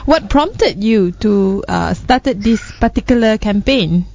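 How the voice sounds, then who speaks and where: A woman's voice: mid-range voice, lively intonation, slow pace. A woman hosting a radio show, interviewing in a conversational style. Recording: muffled, loud music bed. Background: loud music.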